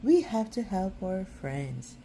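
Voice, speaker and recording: A woman's voice: mid-range voice, very animated, slow pace, mellow, slight background noise. A woman voicing an outspoken, anxious, determined schoolgirl from a children's storybook, urgent and insistent. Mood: worried, pleading.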